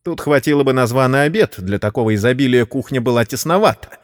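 A man's voice: medium pitch, lively intonation, fast talker, long reverb tail. A man narrating a scripted story in a dramatic audio-play style.

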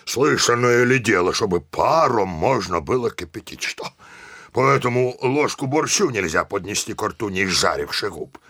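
A pompous old village headman in a radio play. His husky, gruff voice grumbles with lively swings of pitch, recorded close and dry. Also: normal speed, dry recording. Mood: indignant. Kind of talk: Russian audio play acting.

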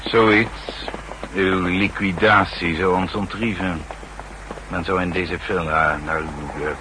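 An actor playing a coldly polite secret-service henchman in a radio drama, formal and menacingly courteous. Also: low-pitched. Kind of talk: 1960s Dutch radio drama acting. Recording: noisy 1960s radio drama recording.

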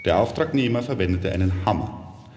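An actor playing a solemn chronicler in a radio play, narrating in a dry, encyclopedic manner. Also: male voice, low voice, expressive, a little fast. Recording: echoey hall with long reverb, faint noise.

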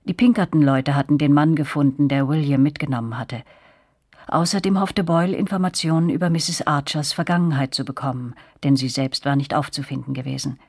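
Low, very husky storyteller. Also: female voice, brisk, darkish tone, dry recording, studio quality. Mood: reflective, uneasy. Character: reflective woman recalling her frightened girlhood. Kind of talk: first-person radio drama narration.

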